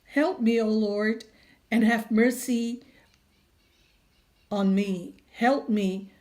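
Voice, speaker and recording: A woman reading scripture aloud in a measured, earnest way. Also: a little high, steady tone, a little mellow.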